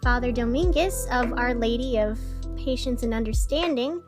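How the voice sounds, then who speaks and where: Woman acting as chatty lady radio host, high voice, earnest n dry, fantasy town news w music behind. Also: normal speed, lightly acted. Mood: wry concern. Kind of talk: scripted narration as community radio news.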